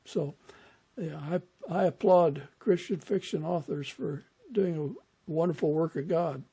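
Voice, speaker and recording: A man hosting an interview podcast, talking in a measured, conversational way with pauses mid-sentence. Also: a little high, mellow, husky. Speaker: podcast host.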